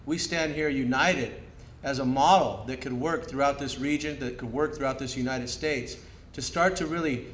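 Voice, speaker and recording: A man's voice: medium pitch, a bit bright, slight background noise. Earnest middle-aged man at an outdoor podium. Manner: earnest, loosely improvised with fillers. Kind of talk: ceremony remarks. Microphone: podium mic. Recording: echoey outdoor PA with crowd.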